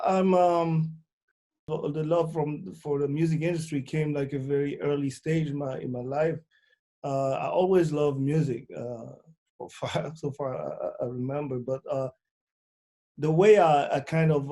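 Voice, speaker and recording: Guest: young man with a French accent, warm dark tone, halting speech full of fillers. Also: a little high, steady tone. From Congo, born in France. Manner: halting, many fillers and restarts. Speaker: booking agent, guest. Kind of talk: casual interview.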